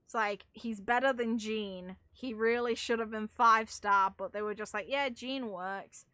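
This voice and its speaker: Bright-voiced woman, casual gaming commentary. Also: a little high, steady tone, unhurried, no echo. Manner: opinionated, rambling, casual swearing. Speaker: game streamer.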